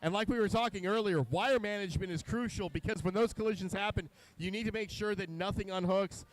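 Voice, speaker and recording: A man giving live color commentary at a robotics competition, explaining the rules and technical details with frequent filler pauses. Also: high voice, slight background noise, poor audio. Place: competition venue.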